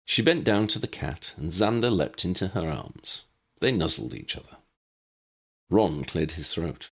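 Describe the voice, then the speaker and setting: A man's voice: low voice, expressive, a little slow, fairly bright tone. A man reading a scripted story aloud as an audiobook narrator. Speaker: audiobook narrator reading own fan fiction.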